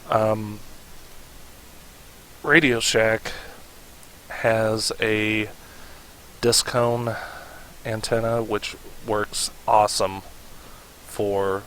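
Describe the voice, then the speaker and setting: A man with a fairly low voice hosts a casual how-to tutorial. He speaks slowly and haltingly, pausing to think, with very little rise and fall in his tone. A faint steady hiss sits behind him. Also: poor audio. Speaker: tutorial host.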